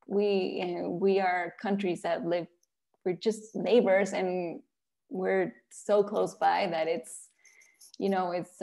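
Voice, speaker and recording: Creaky-voiced Mexican woman in an interview. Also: medium pitch, a little mellow. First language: Spanish. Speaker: interviewed author and illustrator. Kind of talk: conversational interview answers.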